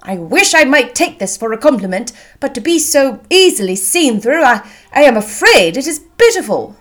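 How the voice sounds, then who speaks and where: A woman voicing an amiable, courteous young gentleman in a period novel, earnest and emphatic. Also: high-pitched, big pitch swings, moderate pace, voice pushed up, exaggerated voice. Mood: playful.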